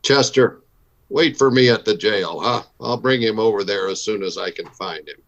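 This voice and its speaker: A low male voice, slightly dull in the recording, as a frontier marshal in a radio Western. He is steady and matter-of-fact. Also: moderate pace, creaky. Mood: businesslike. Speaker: actor playing a marshal. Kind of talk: old-time Western radio acting. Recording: dull band-limited audio.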